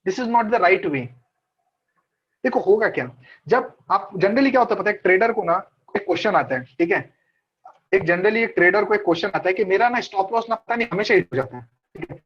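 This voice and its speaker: भारतीय लहजे वाला एक पुरुष ट्रेडिंग मेंटर, जो ऑनलाइन कॉल पर हिंदी और अंग्रेज़ी मिलाकर, बातें दोहराते हुए बातचीत के अंदाज़ में क्लास लेता है।. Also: male voice, high voice, animated, brisk, slightly rough. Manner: chatty, mixes Hindi and English, repeats points. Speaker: trading mentor. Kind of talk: casual online trading class. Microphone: video call. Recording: dull lo-fi call audio.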